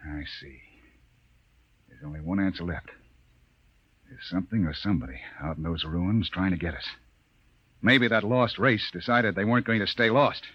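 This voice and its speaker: An actor playing a commanding, weary spaceship captain in a 1950s radio drama. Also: male voice, deep voice, normal speed, pauses often, breathy edge. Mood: tense, subdued. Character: commanding, weary male spaceship captain leading a marooned crew. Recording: muffled, noisy 1950s radio recording.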